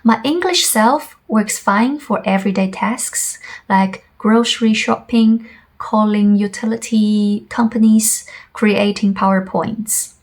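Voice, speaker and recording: Chinese-native woman teacher, unhurried, slight fry in the voice, personal reflective talk. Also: mid-range voice. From China.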